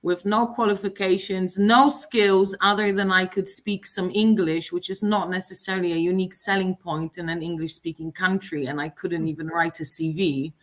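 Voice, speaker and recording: A woman from Poland, a native Polish speaker, tells her story on a video call panel. Her voice sits a little low and her delivery is warm and animated. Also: moderate pace, few pauses.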